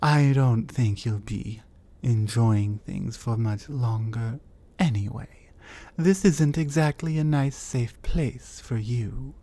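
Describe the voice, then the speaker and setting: A woman's voice: a bass voice, takes it slowly, mellow, full character voice. A woman voicing a huge, reclusive female troll in an ASMR audio roleplay, curt and commanding. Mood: cold, mocking. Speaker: voice actor.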